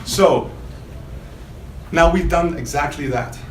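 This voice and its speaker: A man's voice: fairly high pitch, slow. Echoey room, man giving an emphatic product demo. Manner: emphatic, quizzing listeners with rhetorical questions. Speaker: product demonstrator for a loudspeaker maker. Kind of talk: spontaneous product pitch to a small audience. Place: indoor hotel demo room. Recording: roomy trade show demo, faint background noise.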